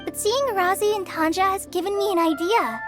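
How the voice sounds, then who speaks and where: A woman voicing a shy, earnest young girl in a video game, speaking gently and hesitantly. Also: extremely high pitch, brisk. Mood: shy, evasive. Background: music.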